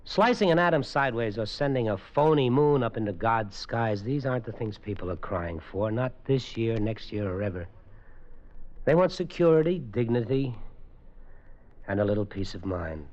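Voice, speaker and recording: A man's voice: moderate pace, lightly acted. An actor playing a thoughtful man with trained super senses, narrating and talking in a 1950s radio drama. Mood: earnest. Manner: earnest, thoughtful, lively in pitch. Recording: dull old radio broadcast with faint music.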